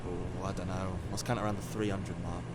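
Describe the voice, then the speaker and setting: A man's voice: low voice, little pitch movement, fast, noisy background, close to an everyday voice. A man in an audio drama playing a sardonic, weary, regretful scientist from the future who is centuries old. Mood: flippant, sardonic.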